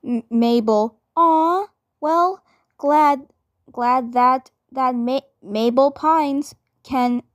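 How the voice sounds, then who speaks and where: A woman doing character roleplay for a fan dub, halting and stammering, often repeating her phrases. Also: high-pitched, no room sound, clean studio sound.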